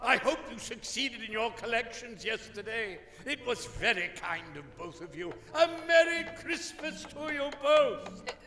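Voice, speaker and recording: Guy doing a reformed old miser, really high voice, merry n effusive, radio play w big reverb and music. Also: animated, measured pace, sharp tone, full character voice. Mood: merry, courteous. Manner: exclamatory, effusive, formal old-fashioned speech. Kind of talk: stage-like radio play acting. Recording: long reverb, music behind.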